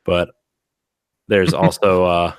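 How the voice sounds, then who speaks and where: A man with a very low voice hosts a casual podcast, a little raspy from a week of sickness. He rambles along with lots of fillers and restarts. The sound is close and dry. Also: level tone, slow, no echo. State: slightly raspy from being sick. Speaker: podcast host. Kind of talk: casual chat.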